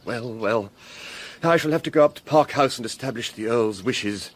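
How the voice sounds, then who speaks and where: An actor playing a nervous, kindly country parson in a Victorian radio drama, wordy and genteel, with a stammering, flustered way of talking. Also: male voice, mid-range voice, normal speed, close to an everyday voice. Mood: earnest. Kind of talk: period radio drama acting.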